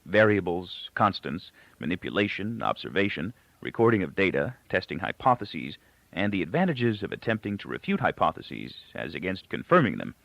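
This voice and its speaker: Low-pitched male audiobook reader, widely varied intonation, creaky tone, literary storytelling, dull lo-fi sound. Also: sing-song, normal speed, fairly bright tone, no echo. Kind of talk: scripted literary narration with character dialogue. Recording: dull, lo-fi, close dry recording.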